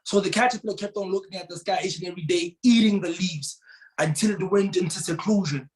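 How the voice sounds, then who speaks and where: A man's voice: sits high, fast, rough recording. A man from South Africa, a Tswana speaker, telling a motivational story earnestly as an interview guest. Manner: earnest, repeats words, rises to emphatic bursts.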